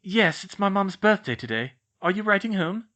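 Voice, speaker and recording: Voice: medium pitch, animated, moderate pace, fairly bright tone, voice pushed up, close to an everyday voice. A narrator voicing a shy, upset older schoolgirl witch who talks in a hesitant, stammering way.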